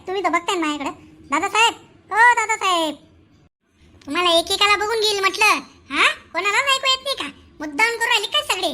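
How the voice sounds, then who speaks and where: Woman doing a shrill, scolding Khandeshi village mother. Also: sharp tone, heavily acted. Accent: Khandeshi Marathi. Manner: nagging, exclamatory, rural colloquial. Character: indignant, scolding village mother, middle-aged, easily rattled. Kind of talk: comic cartoon voice acting.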